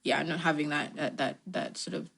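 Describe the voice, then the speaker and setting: A woman from Uganda speaks with a Ugandan accent in a low register. She answers in a conversational, reflective way, explaining her thoughts step by step with frequent "you know". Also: fairly even intonation, moderate pace, gravelly, fairly bright tone, slightly rough. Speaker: tech organisation founder, interview guest.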